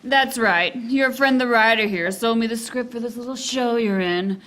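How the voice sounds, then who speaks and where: Actress as femme fatale, higher female voice, sultry, sharp-tongued, sardonic, bossy, radio serial parody. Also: moderate pace, close to an everyday voice, rough recording. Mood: sardonic, menacing. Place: theater stage before a live audience.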